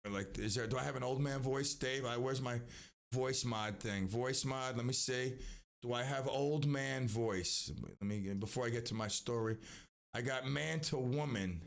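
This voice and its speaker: Gravelly-voiced man rambling on a stream. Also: mid-range voice, moderate pace. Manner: rambling, with frequent restarts and asides. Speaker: live stream host. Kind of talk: casual rambling commentary.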